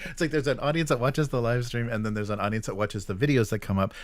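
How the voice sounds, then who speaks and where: A man's voice: medium pitch, steady tone, fast, no echo. A man co-hosting a live stream, chatting casually about gaming news and often chuckling as he talks.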